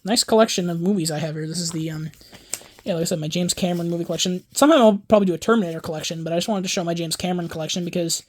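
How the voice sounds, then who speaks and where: A man's voice: fairly high pitch, very fast. Man, 20s, casual vlog, rambling, self-correcting, enthusiastic fan. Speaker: home video collector and YouTuber. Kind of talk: casual show-and-tell vlog.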